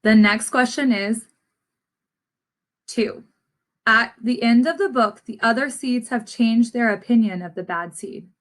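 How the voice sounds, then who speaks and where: A woman's voice: fairly high pitch, measured pace, pauses often. A woman speaking instructively to children as a teacher, addressing them as friends while reading aloud and posing lesson questions.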